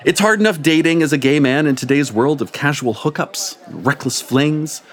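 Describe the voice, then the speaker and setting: A man's voice: fairly high pitch, moderate pace. A man reading a comic story aloud live, with playful, amused narration. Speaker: story reader. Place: stage before a live audience.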